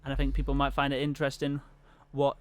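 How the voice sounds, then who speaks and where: Man in his twenties from Wales, smooth clear voice, hesitant, modest. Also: mid-range voice, monotone, quick pace. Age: 20s. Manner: hesitant, modest, laughs easily. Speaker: guest, university student. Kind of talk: casual chat.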